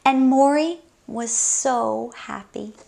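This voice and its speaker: A woman hosting a children's story time, reading a picture book aloud in an expressive, sing-song, exclaiming way. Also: on the high side, takes it slowly, vocal fry, slight background noise.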